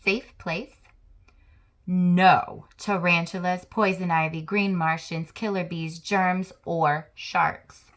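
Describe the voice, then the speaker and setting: Slow, lowish-voiced woman reading aloud. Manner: slow, expressive storytelling with list-like delivery. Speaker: children's book read-aloud host. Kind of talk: reading a picture book aloud to children.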